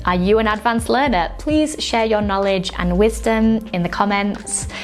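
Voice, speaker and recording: A young woman with a light Yorkshire accent speaks at a middling pitch with faint music behind her. She explains things in a loose, chatty way, often reaching for "like" and "um." Her tone is that of an English teacher talking straight to her viewers. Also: normal speed. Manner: chatty, with frequent "like" and "um" fillers. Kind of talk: casual explainer video.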